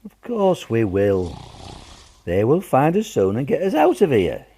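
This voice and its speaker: A man's voice: mid-range voice, wildly swooping pitch, measured pace, mellow. A man playing a self-satisfied, teasing English wartime husband and father in an amateur radio play. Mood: reassuring. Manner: chuckling, teasing, boastful. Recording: faint noise with clicks.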